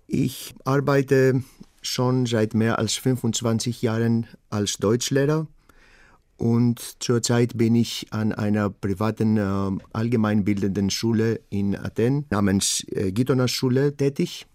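A middle-aged man from Greece, a German teacher, speaking German with a Greek accent and pausing often with fillers as he talks about himself. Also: mid-range voice, a little mellow, no echo, studio quality. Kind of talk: personal self-portrait talk.

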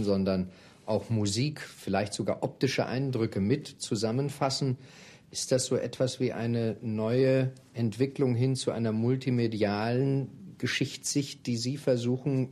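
A man interviewing in a formal, measured way, putting long, carefully worded questions. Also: medium pitch, steady tone, mellow.

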